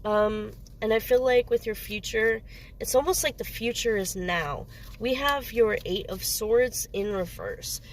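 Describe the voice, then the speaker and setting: Woman tarot reader with a head cold, gravelly voice, slightly noisy background, rambles and searches for words. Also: medium pitch. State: sniffly with a cold. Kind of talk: casual solo tarot reading.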